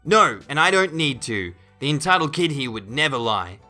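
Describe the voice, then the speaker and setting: Man acting a loud, stern school disciplinarian. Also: a little high, very animated, moderate pace, soft background music, voice pushed up, heavily acted. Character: stern, biased male school disciplinary monitor, accusing.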